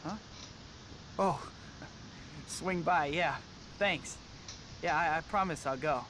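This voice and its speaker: A man's voice: on the high side, slow, noisy background. A man voicing a young, earnest and slightly awkward superhero in a video game. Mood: friendly. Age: young adult.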